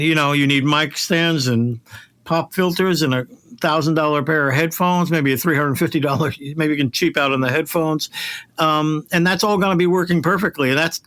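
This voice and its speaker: A man's voice: fairly high pitch, moderate pace, fairly bright tone. A man talking casually and emphatically about his industry, as a guest in conversation. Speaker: interview guest, voice casting professional.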